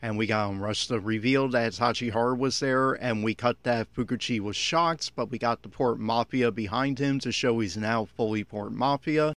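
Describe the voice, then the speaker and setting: A man delivers off-the-cuff commentary with a critical, exasperated edge. His voice sits at a middling pitch and is recorded close and dry, with very little room sound. He speaks as an opinionated podcaster reacting to what he watches. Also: normal speed, hardly any pauses, fairly bright tone, no echo. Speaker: podcaster giving episode commentary. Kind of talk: unscripted audio commentary on an anime episode.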